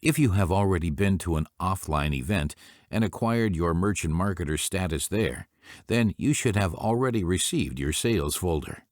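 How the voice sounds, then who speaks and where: A man presenting business training in scripted instructional narration, speaking in a formal, deliberate way. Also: sits low, lively intonation, darkish tone, dry recording, clean studio sound.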